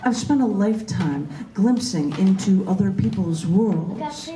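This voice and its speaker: A woman's voice: medium pitch, animated, unhurried, a crowd in the background. A woman poet at an open mic, reading her work and chatting casually. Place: large bookstore open mic, indoors. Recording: echoey room, distant microphone.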